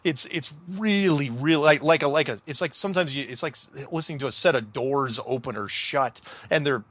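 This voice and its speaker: A man hosting a casual interview, agreeable and thinking aloud in a halting way. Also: on the high side, a bit bright. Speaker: show host.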